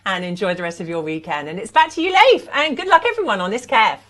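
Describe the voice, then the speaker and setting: A woman's voice: mid-range voice, sing-song, fast, fairly bright tone. A woman presenting artwork on a live auction stream, enthusiastic and persuasive.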